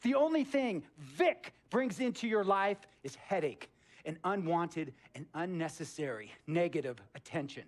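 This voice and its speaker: An actor playing a flamboyant, bullying billionaire who owns a basketball team, theatrical and preachy, in a video game cutscene. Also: male voice, a little high, animated, a little slow. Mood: preachy, cocky. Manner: theatrical, preachy, builds from hushed to shouting.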